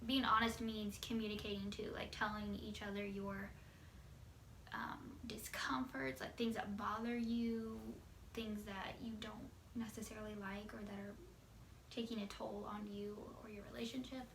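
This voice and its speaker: A woman's voice: mid-range voice, fairly bright tone. Young woman, distant and echoey, chatting. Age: 20s. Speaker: wife answering viewer questions with her husband. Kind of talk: casual couple Q&A chat. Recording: echoey room, distant mic, background noise.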